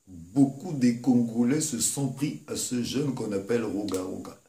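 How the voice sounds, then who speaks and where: A man's voice: mid-range voice, measured pace, warm tone, a little hiss or hum. A man hosting an interview, putting emphatic and courteous questions to his guest. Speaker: host. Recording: roomy echo.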